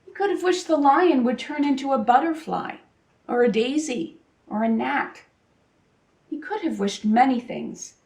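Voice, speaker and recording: A woman with a fairly high voice reads a children's story aloud in a very echoey room. She phrases it expressively and deliberately, pausing for emphasis, a little way from the microphone. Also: gravelly, a little mellow. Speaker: storybook reader. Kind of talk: expressive children's picture-book read-aloud. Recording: roomy echo, distant microphone.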